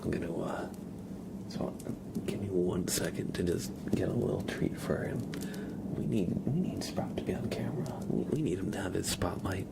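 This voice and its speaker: A man streaming ASMR live, chatting casually in a gentle, hushed, breathy whisper. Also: low voice, normal speed, poor audio.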